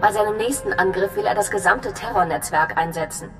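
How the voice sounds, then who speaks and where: An actress playing a serious, composed imperial intelligence officer giving a briefing in a sci-fi game, crisp and official. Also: female voice, mid-range voice, a little fast, close to an everyday voice. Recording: reverberant hall, music behind.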